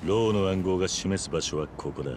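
An actor playing a gruff, stoic middle-aged yakuza in a video game cutscene, speaking tersely and with restraint. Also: male voice, deep voice, brisk, noisy background, lightly acted. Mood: resolute. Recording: game music behind the voice.

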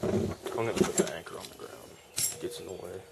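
A man's voice: sits low, steady tone, a little fast, vocal fry, very noisy. A young man, casually showing his fishing gear in a rambling, laid-back vlog on a porch, recorded on a handheld camera. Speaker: fishing vlogger. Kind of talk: casual show-and-tell vlog. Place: outdoor wooden porch.

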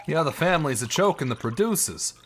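A man's voice: a little high, expressive, quick pace, fairly bright tone, a little hiss or hum. An actor playing a cautious, scheming right-hand man in a 1920s gangster audio drama, deferential and hedging. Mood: deferential, pleading.

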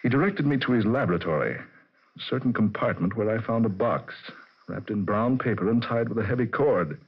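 A man's voice: on the low side, animated, a little fast, slightly rough. An actor playing a calculating male attorney, formal and self-assured, in a 1940s radio drama. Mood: smug, casual. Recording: muffled vintage radio recording.

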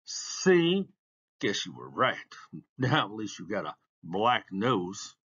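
A man's voice: fairly low pitch, big pitch swings, slow pace, a bit bright, husky, highs cut off, exaggerated voice. A man voicing a cocky, boastful boy racer in a storybook, brash and emphatic. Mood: boastful, teasing.

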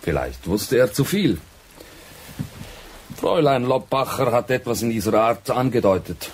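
Husky, earnest younger man. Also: medium pitch, big pitch swings, unhurried. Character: earnest, dutiful younger man reporting to his father. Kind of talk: radio drama acting.

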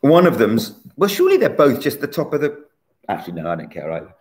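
A man in his forties hosting a casual online quiz from a bright room at home, chatty and teasing, recorded on a laptop webcam. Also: mid-range voice, huge pitch swings, fast. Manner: chatty, teasing, self-mocking. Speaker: quiz host.

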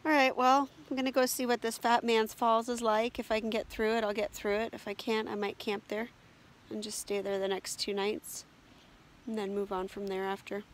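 A tired middle-aged woman thinks aloud in short, unhurried phrases, narrating a casual solo camping vlog outdoors. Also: fairly high pitch, level tone. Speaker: solo wilderness canoe camper vlogger. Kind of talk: casual spoken-to-camera trail vlog. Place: outdoors in forest and on river. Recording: very noisy outdoor handheld recording, rushing water.

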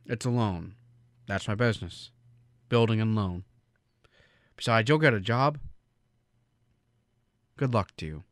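A man's voice: on the low side, a little slow, pauses often, no room sound, clean studio sound, natural voice. A man acting in a radio drama as an earnest, kindly but easily frantic small-town businessman, folksy and emphatic, with an American accent. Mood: warm, teasing. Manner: folksy, emphatic, stammering when pressed.

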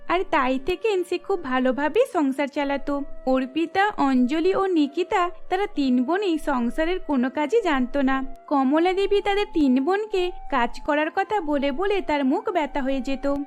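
High-voiced woman narrating a cartoon story. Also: moderate pace, soft background music. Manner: even, storytelling.

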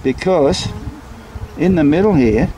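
A man's voice: a little high, expressive, takes it slowly. A man, a beekeeper, casually explaining a hive inspection as he films outdoors. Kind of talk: casual explanatory vlog narration. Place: outdoors beside a beehive and brick house. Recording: clear buzzing insect noise behind. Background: buzzing bees.

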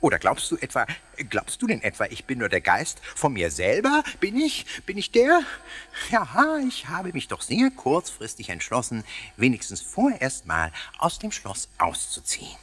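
An actor playing a cheeky, playful, excitable ghost in a children's radio play, theatrical and husky, tripping over his words. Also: medium pitch, moderate pace, full character voice. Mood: chatty, playful. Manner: theatrical, stammering over words, muddling them. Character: cheeky, playful, excitable old castle ghost, greedy for snacks.

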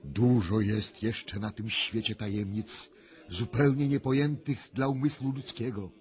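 An actor playing a mysterious, intense clairvoyant in a radio drama, speaking deliberately with dramatic, weighty pauses. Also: male voice, fairly low pitch, steady tone, a little mellow, natural voice. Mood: solemn, reflective. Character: male clairvoyant, solemn, intense, mysterious seer. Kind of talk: 1990s radio drama acting.

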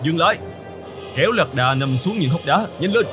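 A man voicing a seasoned, confident desert caravan guide in an animated fairy tale, speaking with assured command. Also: on the high side, normal speed, music in the background, close to an everyday voice. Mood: urgent.

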